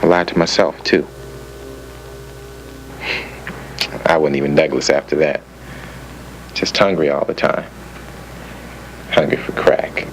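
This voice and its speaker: A man's voice: a bass voice, fairly even intonation, moderate pace, long pauses. A man playing a streetwise young former crack addict, giving an emphatic, colloquial confession in an educational film. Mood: regretful. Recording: noisy old educational film soundtrack.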